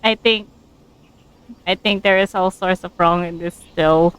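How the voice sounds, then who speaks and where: A woman's voice: lowish voice, fairly even intonation, unhurried, clear, resonant tone, no room sound. A woman game streamer giving casual reaction commentary over gameplay.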